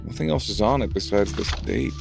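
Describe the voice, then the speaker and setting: A man's voice: fairly low pitch, animated, a little fast, creaky, over music. A man playing a curious, casual, slightly hesitant investigator in an audio drama. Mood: puzzled. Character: hospitable, curious male investigator puzzling over clues.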